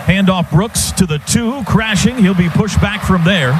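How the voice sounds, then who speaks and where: A man with a high voice calls live American football on the radio. He speaks in short, urgent bursts, tracking each move as it happens, with clear outdoor stadium noise around him. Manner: clipped rapid phrases, building excitement. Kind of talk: live sports commentary.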